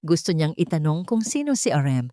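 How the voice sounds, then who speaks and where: A woman with a Filipino accent narrating a scripted dramatic story in measured, short phrases. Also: low-pitched, sing-song, mellow, no echo, clean studio sound.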